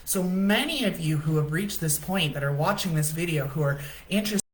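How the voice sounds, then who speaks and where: A woman host giving a casual spiritual coaching talk on a livestream, chatty and often checking in with "right?", in her own low voice. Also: lively intonation, a little fast, rough recording.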